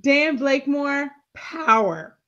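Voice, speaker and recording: Female co-host, slightly high, laughs easily, muffled lo-fi video call sound. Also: animated, takes it slowly, a bit bright. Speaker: co-host of a live recap show. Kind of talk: casual live chat.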